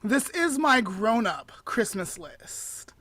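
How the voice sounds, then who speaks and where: A woman's voice: a little high, big pitch swings, slow pace, fairly bright tone, dry recording. A woman co-hosting a podcast, talking in an informal, chatty way during casual banter. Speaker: podcast co-host. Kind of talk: casual chat and song guessing game.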